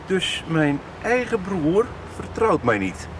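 A gruff, touchy farmer in a radio soap. His voice is fairly high and very lively in pitch, blunt and defensive. Outdoor noise is clearly heard behind. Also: takes it slowly, heavily acted. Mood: defensive, indignant.

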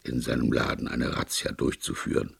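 A man voicing a gentle, shrewd priest detective who explains things in a measured way in an audiobook. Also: very deep voice, monotone, gravelly, slightly rough, no room sound, studio quality, pitched below the performer's own voice, full character voice. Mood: calm, knowing.

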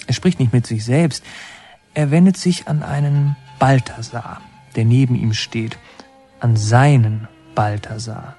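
Male actor as brooding young inmate narrator: slow, mellow, reflective, radio drama over music. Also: mid-range voice, lightly acted. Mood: brooding, poetic. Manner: halting, reflective, poetic. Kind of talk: radio play first-person narration.

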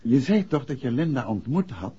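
A man's voice: medium pitch, big pitch swings, normal speed, dark tone, breathy edge. An actor playing a protective, probing detective husband in a 1960s radio drama, with a decisive, fatherly manner. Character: married male detective, protective, probing and authoritative. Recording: dull, noisy 1960s radio recording.

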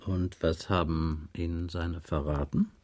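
A man's voice: sits low, steady tone, measured pace, darkish tone. A man playing a patient, dry investigator in a radio crime drama, asking terse, probing questions and musing as he goes. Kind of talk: 1980s German radio drama dialogue.

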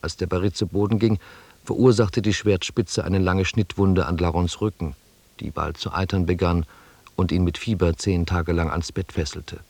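An actor playing a sober expedition member who narrates a voyage in measured, literary German radio drama style. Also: male voice, deep voice, fairly even intonation, darkish tone, a little hiss or hum. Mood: grave, matter-of-fact. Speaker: first-person narrator.

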